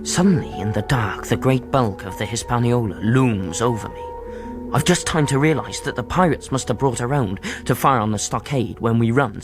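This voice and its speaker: An actor playing an earnest, plucky young sailor lad in a radio drama, speaking both dialogue and first-person narration. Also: male voice, mid-range voice, lightly acted.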